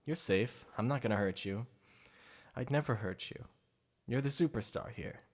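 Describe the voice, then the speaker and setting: A man's voice: mid-range voice, moderate pace, lightly acted. A man performing a boastful, playful supervillain for an ASMR roleplay, with a secret obsession behind the show. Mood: gloating showman. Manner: showy, mocking, playful.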